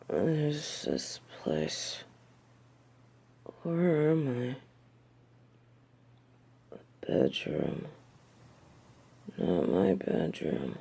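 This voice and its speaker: A woman's voice: a bass voice, gravelly, warm tone, slightly rough, full character voice. A woman playing a snobbish, indignant fallen angel in a theatrical audio drama, speaking with haughty disdain. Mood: dazed, confused. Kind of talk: dramatic audio roleplay acting.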